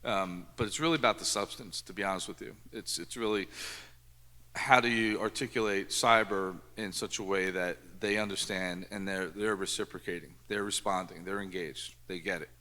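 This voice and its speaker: A man's voice: sits low, sharp tone. A man speaking on a conference panel as a former security chief, earnest and often repeating words as he thinks. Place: conference hall. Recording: echoey conference hall.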